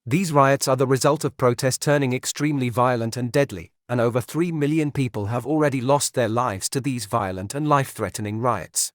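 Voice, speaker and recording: A man playing a grave emergency broadcast announcer, reading a scripted bulletin in a formal, measured way. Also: medium pitch, no echo, clean studio sound, natural voice.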